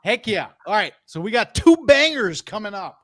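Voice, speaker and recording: A man's voice: high-pitched, big pitch swings, normal speed, pushed and bright, dry recording. A man presenting music picks on an audio roundtable show, enthusiastic and rambling, often repeating words. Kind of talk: casual roundtable chat.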